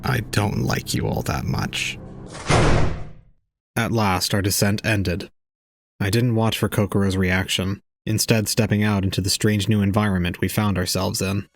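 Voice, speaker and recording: A man's voice: fairly low pitch, steady tone, unhurried, vocal fry, a little hiss or hum. A man playing an aloof young man, narrating an audio drama in a formal, detached, cold way. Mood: cold, dismissive.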